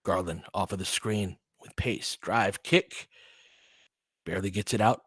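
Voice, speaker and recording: A man's voice: low-pitched, fairly even intonation, measured pace, slightly rough, no echo. Man calling basketball, dry close sound. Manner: clipped phrases, casual partisan asides. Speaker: fan play-by-play commentator. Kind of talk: live basketball play-by-play commentary.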